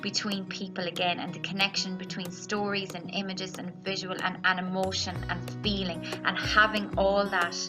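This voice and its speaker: A woman speaks off the cuff over clear background music. She is reflective and halting, often repeating phrases as she searches for words, at a middling pitch. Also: little pitch movement. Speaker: theatre artist. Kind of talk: unscripted reflective talk.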